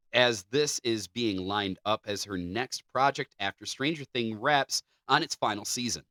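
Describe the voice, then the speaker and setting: A man with a bright, forward voice at a middle pitch. He sounds like a podcast co-host, switching between entertainment news copy and loose chat, and the sound is dry and close. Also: dry recording. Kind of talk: entertainment news read-out mixed with casual banter.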